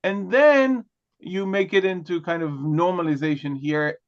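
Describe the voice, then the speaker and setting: A male teacher with a high voice takes his time, letting each phrase land slowly. He is a native Hebrew speaker and teaches in a loose, joking way. The sound is dull and muffled, like online class audio. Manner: relaxed, repeats "okay" and "right", joking asides. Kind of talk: lecturing.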